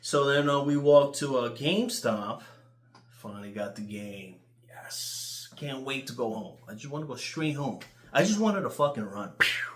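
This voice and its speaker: A man with a New York accent telling casual, rambling stories in an animated, crude way, swearing freely. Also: mid-range voice, normal speed, reverberant. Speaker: YouTuber.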